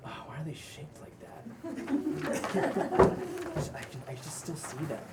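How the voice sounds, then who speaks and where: A man's voice: sits high, wildly swooping pitch, takes it slowly, heavy background noise, full character voice. A man playing a teasing, supportive roommate in a casual comedy-drama script read, sounding conversational and playfully sassy. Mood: grossed out, teasing. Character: Max, exasperated, teasing, supportive male roommate and friend. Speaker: actor at a table read.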